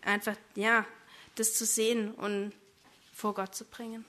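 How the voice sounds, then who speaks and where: A woman leading a spoken prayer, earnest and soft. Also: medium pitch, little pitch movement, unhurried, a little hiss or hum. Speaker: prayer leader.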